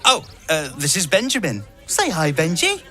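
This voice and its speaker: Circus greeter, fantasy game: flamboyant, ingratiating showman, bright and extremely animated. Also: fairly high pitch, normal speed, exaggerated voice. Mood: gushing welcome. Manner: theatrical, ingratiating. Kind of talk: video game character acting.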